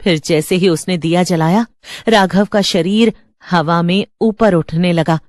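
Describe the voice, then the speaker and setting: Low-voiced woman narrating horror. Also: measured pace, a little mellow, dry recording, clean studio sound. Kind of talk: scripted dramatic horror narration.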